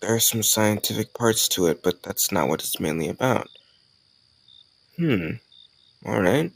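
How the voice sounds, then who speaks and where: A man playing an easygoing male college student who chats casually and teases gently in an intimate romantic audio roleplay. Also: on the low side, animated, measured pace, vocal fry, breathy edge, heavily acted. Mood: soft, sincere. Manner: casual, conversational, gently teasing.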